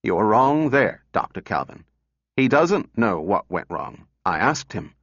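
A man's voice: lowish voice, sing-song, unhurried, fairly bright tone, heavily acted. A man voicing a stiff, formal and uneasy male research director in a storybook reading. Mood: firm. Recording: echoey room, dull muffled sound.

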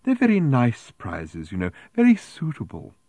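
A man voicing a prim, genteel middle-aged woman selling raffle tickets in a storybook, speaking slowly with a cloying, sighing sweetness. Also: medium pitch, wildly swooping pitch, a little mellow, no room sound, pitched above the performer's own voice. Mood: cloyingly sweet.

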